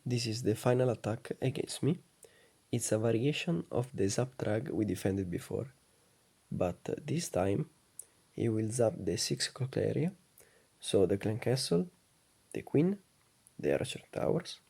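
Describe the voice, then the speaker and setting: A man with a low, soft voice giving calm, methodical gameplay commentary as a voice-over. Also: a little slow, creaky, breathy edge. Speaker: gaming channel host.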